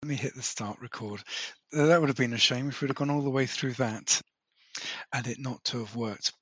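Husky man on a dull, muffled line. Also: mid-range voice, brisk. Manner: relaxed, asks follow-up questions and sums up. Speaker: podcast host, interviewer. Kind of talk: casual conversational interview.

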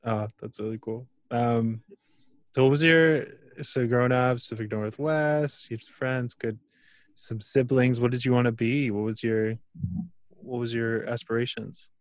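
A man hosting a casual interview, relaxed and laughing, telling loose anecdotes and asking questions. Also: medium pitch, measured pace, no echo. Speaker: podcast host.

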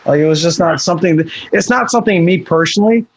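A man's voice: fairly high pitch, fast talker, breathy edge. A man from Ireland in casual podcast chat, offering thoughtful, tentative suggestions.

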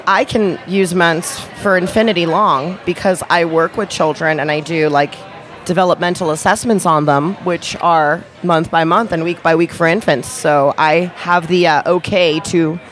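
A woman's voice: fairly low pitch, steady tone, moderate pace. A woman from New York City chatting as a guest in a café with dry, wry remarks. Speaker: guest comedian. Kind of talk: casual chat.

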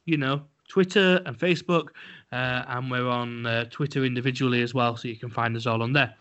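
A man's voice: mid-range voice, fairly even intonation, a little fast, a bit bright, clear, resonant tone. A man co-hosting a casual podcast, talking bluntly and rambling with lots of fillers and restarts. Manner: blunt and a little crude. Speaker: podcast co-host. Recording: dull lo-fi podcast audio.

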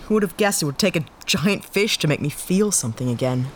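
A woman's voice: deep voice, animated, a little fast, background noise. A woman voicing a weary, disillusioned former cop turned freelancer, talking in a candid, rueful, self-deprecating way. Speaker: lead character. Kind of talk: audio drama acting.

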